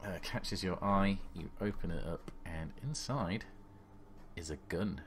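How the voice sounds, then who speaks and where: A man's voice: deep voice, a little slow, slight background noise. A man working as game master, narrating an improvised horror role-playing game in a descriptive, conversational way.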